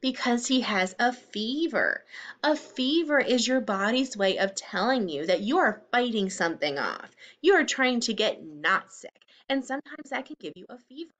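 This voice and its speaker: Female children's teacher, higher-pitched voice with animated melody, instructive read-aloud delivery. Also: normal speed, lo-fi sound. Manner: simple, instructive, expressive phrasing for young children. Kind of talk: children's read-aloud lesson with explanations and questions.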